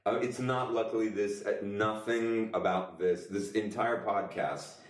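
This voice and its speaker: A man with a very deep voice runs a casual quiz as podcast host. He is wordy and teasing, and he sounds set back from the microphone in a reverberant room. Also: fairly even intonation, a little slow. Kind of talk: casual comedic quiz banter. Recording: roomy echo, distant microphone.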